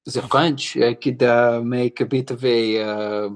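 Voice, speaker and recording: A man's voice: mid-range voice, fairly even intonation, takes it slowly. A man who is an actor, chatting easily as a podcast guest and often saying yeah and man. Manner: easygoing, says man and yeah a lot. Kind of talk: casual interview chat.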